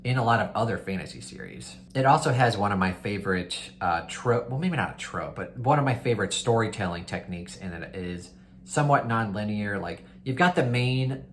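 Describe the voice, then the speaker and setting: A man's voice: lowish voice, expressive, fairly quick, fairly bright tone, slight background noise. A man chatting casually and enthusiastically to viewers as a book reviewer, in an informal, conversational way. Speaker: book reviewer hosting a readalong. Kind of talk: casual chat to viewers, recommending books.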